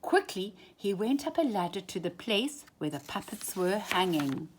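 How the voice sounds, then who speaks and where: A woman storyteller reading a children's storybook expressively, with deliberate, dramatic emphasis. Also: medium pitch, very animated, slightly rough.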